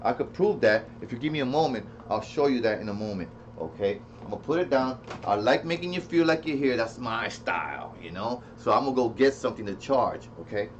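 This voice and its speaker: A man's voice: mid-range voice, lively intonation, a little fast, hardly any pauses. A man giving a casual, unscripted product demo, rambling as he thinks aloud and repeats himself. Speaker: product reviewer. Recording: dull lo-fi sound with faint noise.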